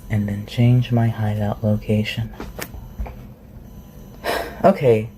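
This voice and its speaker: An awkward, inquisitive shapeshifter living as a forest recluse, acted out by a performer for a fantasy roleplay ASMR. The voice sits at a medium pitch and rambles through hesitant questions. Noticeable noise runs under the recording. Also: close to an everyday voice. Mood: guarded. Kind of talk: roleplay ASMR audio acting. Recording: clearly noisy home recording.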